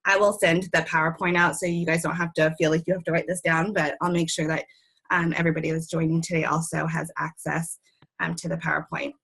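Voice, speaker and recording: A woman's voice: low-pitched, flat delivery, brisk, creaky, a bit bright, husky. A young woman with a worn, recovering voice, presenting an online conference session with many ums and friendly asides. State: voice worn from recent illness. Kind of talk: webinar presentation.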